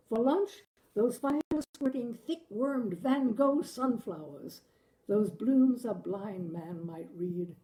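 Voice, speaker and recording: A woman narrating a short story in an expressive, deliberate audiobook style, savoring the phrasing. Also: a little high, rough recording. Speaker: literary reader, storyteller.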